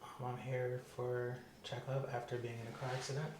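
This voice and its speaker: A man in his thirties playing a patient in an ASMR exam roleplay, giving short, low-key, agreeable answers. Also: completely flat delivery, moderate pace, darkish tone. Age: 30s. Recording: roomy echo, clear background noise.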